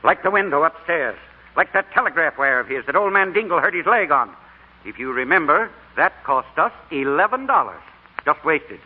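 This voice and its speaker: A grumpy middle-aged American father in a vintage radio play. He scolds with big swings in pitch. The sound is narrow and reverberant. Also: big pitch swings, moderate pace. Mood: grumbling, softening. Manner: scolding, gruff. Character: grumpy, stern middle-aged father, exasperated by his son. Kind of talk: 1940s radio acting. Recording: reverberant, thin old radio broadcast.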